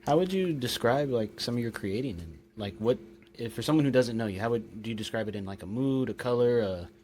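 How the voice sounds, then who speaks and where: A man's voice: mid-range voice, lively intonation, fairly quick, a little mellow, a little hiss or hum. A man hosting a casual podcast interview, laid-back and friendly, calling his guest "dude". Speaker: podcast host.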